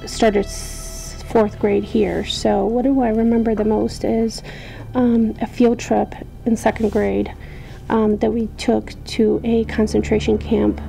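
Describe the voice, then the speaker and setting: A woman's voice: on the high side, flat delivery, slow pace, gravelly, dark tone, faint music behind, poor audio. A young adult woman from Poland, an army veteran, answering interview questions hesitantly with many ums and restarts.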